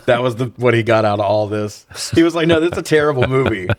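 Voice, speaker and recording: A man's voice: medium pitch, lively intonation, a little fast, no room sound. A man co-hosting a casual comedic film review, joking and swearing freely, often laughing as he talks. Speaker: podcast co-host.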